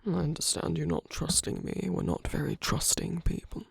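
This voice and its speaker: A man playing a suave, flirtatious villain in an ASMR roleplay, speaking softly and intimately in a breathy half-whisper with a teasing, coaxing manner. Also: fairly high pitch, little pitch movement, moderate pace, no echo, studio quality, full character voice. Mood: earnest, tender. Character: suave flirtatious male villain wooing a hero at a masquerade.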